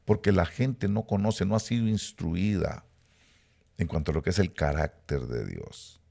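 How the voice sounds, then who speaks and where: A middle-aged man from Rica, teaching a sermon with emphatic rises and quiet low asides. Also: animated, a little slow, vocal fry. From Costa Rica. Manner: emphatic preaching, rising then dropping to low asides. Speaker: preacher.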